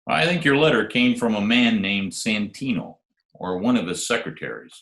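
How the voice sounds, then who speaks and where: An actor playing a wisecracking, sardonic private detective who also narrates, in a 1940s-style radio drama. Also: male voice, on the low side, lively intonation, moderate pace, vocal fry, fairly bright tone. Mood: dry. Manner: dry, sardonic. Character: wisecracking hard-boiled private detective, narrating his case. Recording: roomy remote home recording, faint music.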